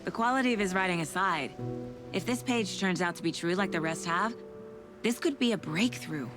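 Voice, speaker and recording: An actress playing a level-headed, businesslike federal investigator in a video game. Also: female voice, on the low side, moderate pace, music in the background, lightly acted. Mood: focused, resolute. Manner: businesslike, firm. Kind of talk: cinematic video game acting.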